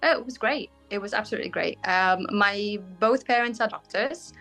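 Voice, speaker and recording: A young woman from Syria speaks with a British accent, her first language being Arabic. She answers interview questions frankly and casually, often pausing with "um" to find her words. Soft music plays faintly behind her. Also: mid-range voice, low-quality recording. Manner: frank, fills pauses with "um", searches for words. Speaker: guest, bridal fashion designer.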